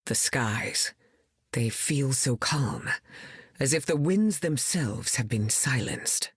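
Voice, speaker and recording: An actress playing a regal, composed mutant heroine in a video game, with a stately, measured manner and dry wit. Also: female voice, extremely low pitch, lively intonation, slightly rough, dry recording, exaggerated voice. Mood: composed, grave. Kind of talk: video game character lines.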